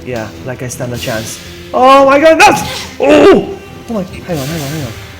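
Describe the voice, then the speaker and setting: A man streaming a video game, commenting live and chatting with viewers in an excitable, exclamatory way, often repeating his phrases. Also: on the high side, moderate pace, soft background music, low-quality recording.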